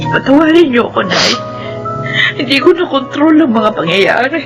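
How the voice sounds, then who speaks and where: A woman's voice: high voice, big pitch swings, normal speed, dull audio. An actress playing a remorseful, loving middle-aged mother in a Filipino radio drama, pleading and emotional. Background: music.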